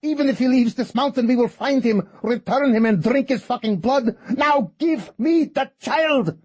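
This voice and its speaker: A man's voice: high voice, normal speed, vocal fry, a little mellow, breathy edge, pitched above the performer's own voice, heavily acted. A male narrator voicing a vicious, sneering male cult leader in an audiobook, full of spitting rage. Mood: raging.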